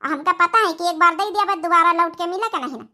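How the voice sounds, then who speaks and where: Woman playing haughty cartoon daughter-in-law, Awadhi accent, very high pitch, sharp, scolding, boastful. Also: fast talker, exaggerated voice. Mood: indignant. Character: selfish, haughty younger daughter-in-law with a government job. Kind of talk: cartoon comedy acting.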